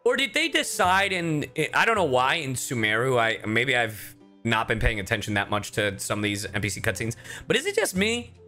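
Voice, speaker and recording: A man's voice: medium pitch, huge pitch swings, moderate pace, few pauses, bright, forceful tone, soft background music. A young man livestreaming with casual game commentary, chatty, joking and profane.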